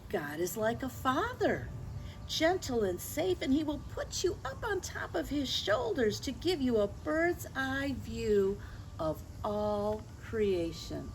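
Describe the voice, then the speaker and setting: An older woman tells a story to young children, taking her time over each phrase. Her pitch moves in lively, expressive swings, and she often turns to her listeners with gentle asides and questions. Noticeable ambient noise sits behind her voice. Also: slow, rough recording. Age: 60s or older. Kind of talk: picture book read-aloud to children with gentle asides.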